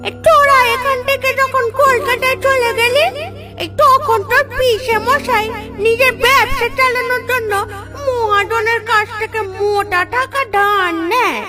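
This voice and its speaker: A woman voicing a protective, sorrowful ghost aunt in a cartoon, scolding and wailing in dramatic fashion. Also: very high-pitched. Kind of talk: dramatic Bengali cartoon voice acting.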